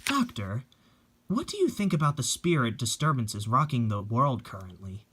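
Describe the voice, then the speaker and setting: A man's voice: mid-range voice, sing-song, normal speed, a bit bright, a little hiss or hum. A man playing a serious television news presenter, speaking formally and earnestly.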